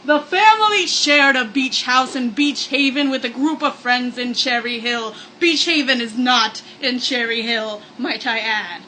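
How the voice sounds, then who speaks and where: A woman's voice: high voice, measured pace, few pauses, pushed and bright, a little hiss or hum, rough recording. A woman reading a story aloud in a sarcastic, mock-dramatic comic manner, with joking asides. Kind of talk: comedic read-aloud with mock-spooky asides.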